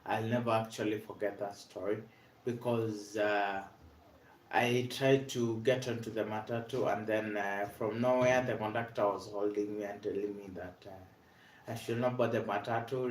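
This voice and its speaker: A man's voice: lowish voice, a little mellow, a little hiss or hum. Earnest Kenyan man in an echoey room. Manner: earnest, repeats "basically" and "uh", frequent restarts. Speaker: vlogger with multiple sclerosis. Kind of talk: personal awareness vlog, storytelling.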